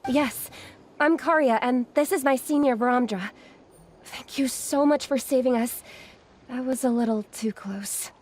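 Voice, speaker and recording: An actress playing a courteous, apologetic young research assistant in an anime video game, explaining things patiently and earnestly. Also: female voice, high-pitched, measured pace, darkish tone, a little hiss or hum. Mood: grateful, polite.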